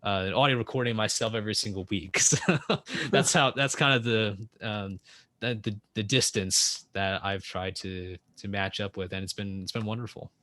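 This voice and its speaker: A man's voice: on the low side, fairly even intonation, normal speed, bright, forceful tone, no room sound. A young man speaking as a podcast guest in casual conversation, rambling with many restarts and fillers.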